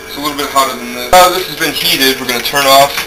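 A man's voice: medium pitch, fast. A young man hosting a casual home cooking demonstration in his kitchen, joking offhandedly as he works, recorded on a camera's own mic. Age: young adult. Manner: jokey, offhand, talks while working. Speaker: home cooking show host. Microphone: camera mic, a few feet away. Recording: noisy home-video camera audio.

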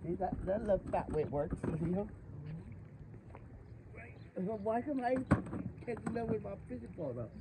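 Very loud outdoor noise surrounds a man out fishing on a riverbank. His voice runs a bit high and swings widely in pitch. He talks casually and excitably, repeating short phrases. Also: normal speed, pauses often. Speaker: angler. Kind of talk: casual chat while fishing. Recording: very noisy outdoor riverbank phone video.

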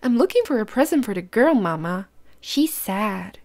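A woman's voice: mid-range voice, very animated, normal speed, darkish tone. A woman voicing an eager, caring young male raccoon child in a children's storybook, with an earnest, childlike manner. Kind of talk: children's storybook read-aloud.

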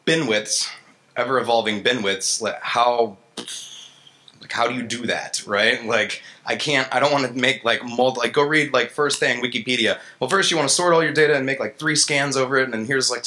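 A man with a bright, forward voice gives a casual tech talk on stage. He speaks like an engineer among peers, colloquial and self-deprecating, often acting out his own reactions. His pitch sits in an ordinary male middle range. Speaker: software engineer giving a lightning talk. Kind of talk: informal conference talk with slides. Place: stage in an indoor gallery event space. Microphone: handheld-style vocal mic on a stand.

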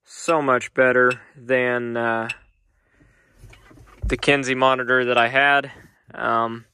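A young man, a farmer vlogger, talking casually about his tractor cab setup while holding his phone, relaxed and chatty with many pauses. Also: mid-range voice, clear, resonant tone, no room sound, studio quality. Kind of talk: casual vlog walkthrough. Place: farm shop and tractor cab. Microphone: handheld phone at arm's length.

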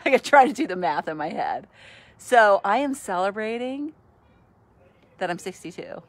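A woman's voice: mid-range voice, animated, moderate pace. A woman in her sixties, a podcast host, chatting casually and cheerfully into a phone at arm's length on a sunny outdoor patio, laughing often. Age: 60s. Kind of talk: casual selfie video chat.

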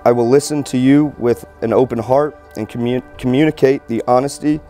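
A man in his thirties, a groom earnestly and haltingly reading wedding vows aloud outdoors. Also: mid-range voice, fairly even intonation, mellow, soft background music. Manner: halting, earnest, stumbles over words. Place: outdoor wedding ceremony in a field. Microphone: handheld microphone held nearby by officiant.

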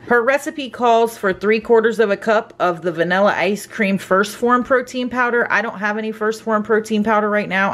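A middle-aged woman narrating her home cooking in a chatty, upbeat way, explaining each step as she goes. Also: mid-range voice. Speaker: cooking vlogger. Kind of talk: casual voice-over cooking narration. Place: home kitchen.

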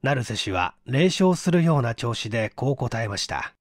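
A man's voice: mid-range voice, very animated, moderate pace, no room sound, clean studio sound. A man reading a mystery story aloud as a scripted narration. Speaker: narrator, audiobook reader.

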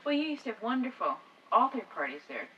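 A woman's voice: a little high, very animated, fast, breathy edge. A woman asking questions in an oral history interview. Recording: distant, dull recording with faint noise.

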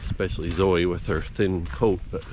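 A man's voice: deep voice, fairly even intonation, normal speed, darkish tone, muffled recording, a little hiss or hum. An older man narrating a walk outdoors in a casual, understated, musing way into a handheld camera. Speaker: vlogger. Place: outdoors on a rural trail in rain. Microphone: handheld camera at arm's length.

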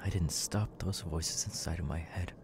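A man playing a young, unhinged masked serial killer in an ASMR audio roleplay, creaky and halting, muttering with erratic, twitchy menace. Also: low-pitched, background noise. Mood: confiding, troubled. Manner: halting, muttering, sudden tics and hoots.

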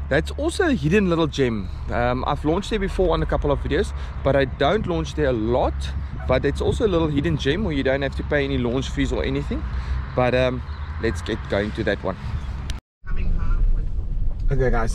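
A man in his forties with a South African accent, giving a relaxed, rambling guided tour to a handheld camera outdoors, with plenty of ums and restarts. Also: mid-range voice, noisy background. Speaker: fishing vlogger. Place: outdoors by an estuary, partly in a car. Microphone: action camera held at arm's length.

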